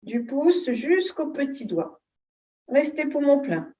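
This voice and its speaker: A woman teaching yoga, giving calm, unhurried and soothing guidance on posture and breathing. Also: sits high, muffled recording. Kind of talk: guided yoga and breathing instruction.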